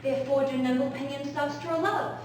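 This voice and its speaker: A woman's voice: high voice, steady tone, a little fast, a little mellow, close to an everyday voice. A woman playing an eager, impatient teenage girl in love, declaiming and pleading in Shakespearean stage style. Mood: impatient, longing. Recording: very echoey, distant, noisy stage recording.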